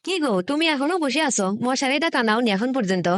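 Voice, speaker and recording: Comic cartoon housewife, female actor, nagging and questioning, northern Bangladeshi Bengali accent, high register, very dry recording. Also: animated, quick pace, dry recording. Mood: nagging, exasperated. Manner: scolding, questioning, exclaiming. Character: nagging, sceptical village housewife.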